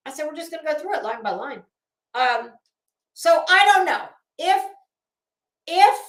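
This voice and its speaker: Female livestream host, high register, blunt and sweary, halting emphasis, recorded in a roomy, echoey space. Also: fairly bright tone. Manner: blunt, profane, opinionated. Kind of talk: casual livestream commentary.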